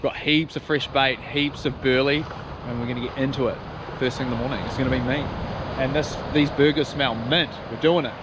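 A man's voice: mid-range voice, fairly even intonation, fairly quick. A man in his thirties with a New Zealand accent, chatting casually and upbeat to a handheld camera at a beachside campsite. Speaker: vlogger. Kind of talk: casual travel vlog chat. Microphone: handheld action camera close up. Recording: outdoor background noise.

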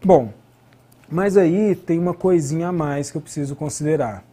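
Brazilian male lecturer, middle-aged. Mellow, slightly high voice, explanatory academic delivery with rhetorical questions. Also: moderate pace, slightly rough. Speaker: history lecturer and researcher. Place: indoor studio at a desk.

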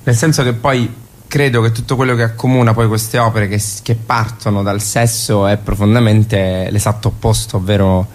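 A man with a fairly low voice explains his ideas in a radio interview. He is reflective and philosophical, and often closes a phrase with a questioning tag. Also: fairly even intonation, moderate pace. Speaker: playwright and actor, interview guest.

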